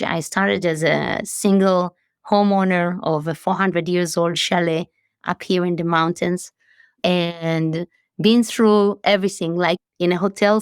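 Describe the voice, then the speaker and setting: Late-40s woman from israel, lowish voice, dry close sound, earnest chatty guest. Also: clear, resonant tone, no echo. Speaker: CEO guest. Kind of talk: interview conversation.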